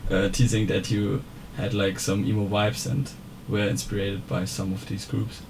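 A man interviewing a musician, asking relaxed, friendly questions. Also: fairly low pitch, unhurried, low-quality recording. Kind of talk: casual interview. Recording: steady background noise.

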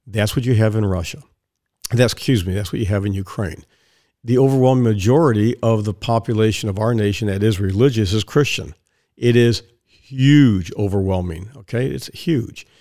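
A man with a fairly low, hoarse, raspy voice holds forth on his own podcast. He talks in a rambling, digressive monologue, circling back and repeating himself as he goes. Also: normal speed, dark tone. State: hoarse from strained vocal cords. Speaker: podcast host.

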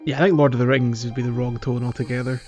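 A man co-hosting a podcast in relaxed, informal conversation about video games. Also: medium pitch, quick pace, faint music behind. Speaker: podcast co-host.

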